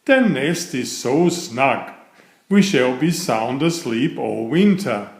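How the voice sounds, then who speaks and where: A man as a slow-talking, prudent squirrel husband. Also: mid-range voice, animated, reverberant. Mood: prudent, cosy.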